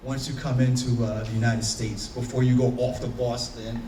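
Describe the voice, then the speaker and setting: A man's voice: medium pitch, flat delivery, normal speed, dark tone, slight background noise. Earnest man with a Haitian accent. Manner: earnest, searching, many fillers and restarts. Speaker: panelist. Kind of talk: reflective personal talk on a panel. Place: conference room. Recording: echoey room, voice from far off.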